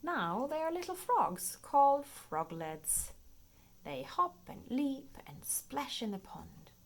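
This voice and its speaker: Slow, animated woman reading to children. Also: high voice. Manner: expressive, playful, clearly paced for young children. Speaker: children's storybook reader. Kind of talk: read-aloud children's nonfiction storytime narration.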